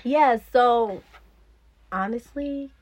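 Mid-twenties woman, higher-pitched voice, casual podcast chat, candid, slangy, somewhat dull lo-fi sound. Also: extremely slow. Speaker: podcast co-host. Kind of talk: casual chat between friends.

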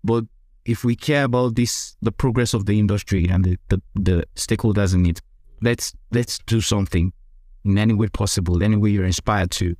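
A man with a Nigerian accent hosting a podcast interview, speaking earnestly and haltingly, often repeating words. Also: on the low side, fairly even intonation, darkish tone, no room sound.

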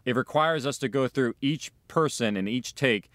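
Man, mid-pitched voice, explanatory interview delivery, audio production creator, close dry sound. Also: normal speed, a bit bright, no echo.

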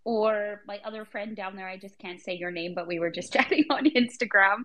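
A woman's voice: medium pitch, brisk, fairly bright tone. Chatty woman photographer. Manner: chatty, frequent "like". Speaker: photographer guest. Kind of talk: casual live audio chat.

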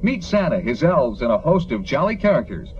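A man's voice: on the high side, big pitch swings, a little fast. A man reading a scripted promotional announcement for a broadcast. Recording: dull lo-fi broadcast.

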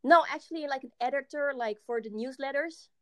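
A woman's voice: high voice, brisk, dry recording, studio quality. A young woman from the Netherlands with a Dutch accent, chatting as a podcast guest, hesitant with many ums and frequent laughs. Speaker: podcast guest, software developer. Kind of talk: casual interview chat.

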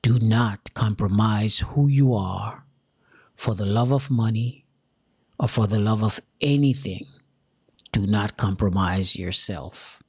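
A Jamaican-accented man from Jamaica giving an earnest, deliberate motivational talk straight to his viewers, repeating key lines for emphasis. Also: fairly low pitch, husky, no echo, clean studio sound. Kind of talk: motivational direct-to-camera talk.

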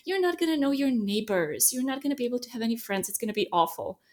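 Woman, Serbian accent, casual podcast co-host, animated anecdotes. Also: fairly high pitch, quick pace. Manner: animated storyteller, laughs often, acts out quotes. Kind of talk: casual conversational chat. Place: lives in a small Dutch town.

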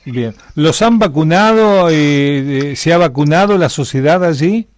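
A man's voice: a little high, lively intonation, measured pace. A man with an Argentine Spanish accent interviewing a guest, asking patient questions and answering with short affirmations. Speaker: radio interviewer.

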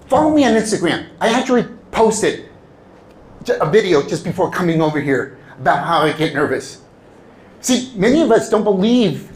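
Lively high-voiced man giving a talk. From Southern California. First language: Spanish. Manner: animated storytelling, acts out quoted dialogue. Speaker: public speaker. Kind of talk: motivational talk to an audience.

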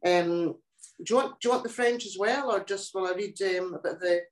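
Reflective older woman in echoey room. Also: lowish voice. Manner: reflective, thoughtful, with many pauses and restarts. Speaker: guest poet. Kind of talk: casual interview chat.

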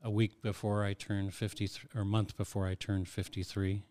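A man in his sixties, a guest on a podcast, calmly explaining things with frequent ums. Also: on the low side. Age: 60s. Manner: explanatory, measured, with many ums. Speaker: heart transplant recipient guest. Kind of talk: casual interview talk.

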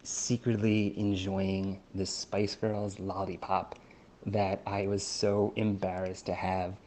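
Man, low pitch, mellow warm tone, calm storytelling, faint background noise. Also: takes it slowly. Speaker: guest. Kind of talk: casual personal storytelling.

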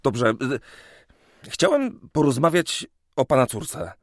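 An actor playing an earnest, nervous young suitor in a historical radio drama, speaking politely and hesitantly with some stammering. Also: male voice, mid-range voice, wildly swooping pitch, slow, no echo, studio quality, heavily acted.

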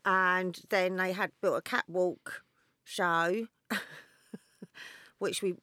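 A woman speaks in a relaxed, unhurried way, often giggling and trailing off with fillers. Her voice sits a touch low, recorded close and dry with no room sound. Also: dry recording. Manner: laid-back, giggly, hesitant with fillers. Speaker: guest, DJ and model. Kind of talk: casual chat interview.

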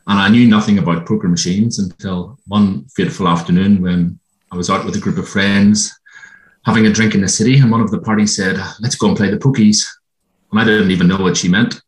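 An Irish man speaks as a guest in an interview, in a low voice. He talks in a reflective way and stops often to gather his thoughts. The sound is roomy and echoey. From Ireland. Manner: reflective, halting with ums. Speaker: guest, former gambling addict. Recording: roomy echo.

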